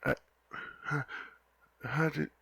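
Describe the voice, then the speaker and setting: Man doing a young knight, gravelly, husky, stammering, soft-spoken, close mic. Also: fairly high pitch, steady tone, takes it slowly, dry recording, exaggerated voice. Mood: anxious, pleading. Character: young male knight, lovesick and flustered. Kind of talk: ASMR boyfriend roleplay.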